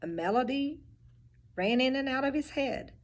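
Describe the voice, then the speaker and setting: A teacher reading a picture book aloud, with expressive storybook delivery and little asides about the pictures. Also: female voice, sits high, normal speed.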